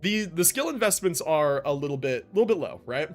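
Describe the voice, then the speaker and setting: A man's voice: a little high, animated, fairly quick, faint music behind. A man doing casual livestream commentary on a game, explaining conversationally and often checking in with the listener.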